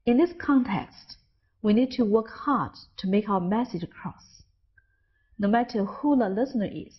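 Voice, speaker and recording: A woman's voice: mid-range voice, breathy edge, lo-fi sound. Chinese-accented woman teaching English. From China. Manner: careful, deliberate, drawn-out example words. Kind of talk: scripted lesson narration.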